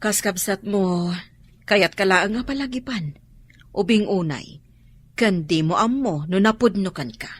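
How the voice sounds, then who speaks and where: A woman's voice: medium pitch, a little slow, breathy edge. An actress with a Filipino accent playing a skeptical, questioning younger woman in a radio drama. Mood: disapproving. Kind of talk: Ilocano radio drama acting.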